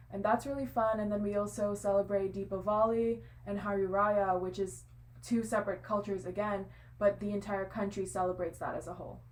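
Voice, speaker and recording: A woman's voice: mid-range voice, a little mellow. A young woman from Singapore, a guest in a casual interview, answering thoughtfully and hedging often.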